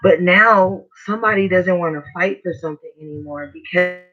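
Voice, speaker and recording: A man with a hoarse, raspy voice giving a casual, slangy tarot reading as host. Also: high voice, moderate pace. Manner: slangy, chatty, swears casually. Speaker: tarot reader. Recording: dull lo-fi recording.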